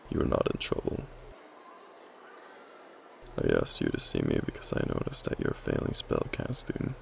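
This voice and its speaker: A man playing an earnest, caring magic academy teacher in a soft, whispery ASMR roleplay, speaking in a measured, gently formal way. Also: huge pitch swings, slight background noise, full character voice. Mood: concerned, reassuring. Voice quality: whispery, breathy, mostly unvoiced. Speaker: roleplay voice performer. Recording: low-quality amateur recording.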